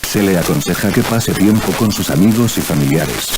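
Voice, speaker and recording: A man with a deep voice delivers an emergency alert over audible noise. He reads the scripted announcement formally and impersonally, like an official announcer. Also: expressive, normal speed. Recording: clear background noise.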